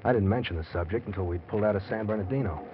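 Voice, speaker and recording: An actor playing a forty-year-old gambler and pulp writer in a 1940s radio drama, wry and hard-boiled. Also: male voice, fairly low pitch, very fast, natural voice. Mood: wry confessional. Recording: noisy muffled old radio recording.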